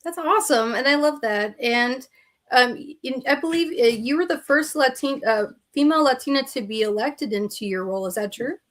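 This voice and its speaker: A woman hosts a podcast in casual chat and laughs easily. Her voice sits high. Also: normal speed. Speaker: podcast host.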